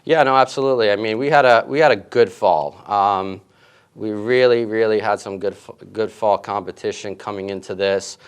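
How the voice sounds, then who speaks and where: A middle-aged man, a head tennis coach, answering interview questions in a relaxed way with many fillers. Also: on the low side, normal speed, smooth. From grew up in Italy and Queens, New York.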